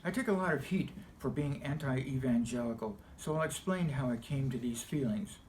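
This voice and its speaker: Mellow, deliberate middle-aged American man. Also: medium pitch, slight background noise, poor audio. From Los Angeles, United States. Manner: deliberate, emphatic, short measured phrases. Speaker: video essayist telling personal views. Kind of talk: scripted personal monologue to camera.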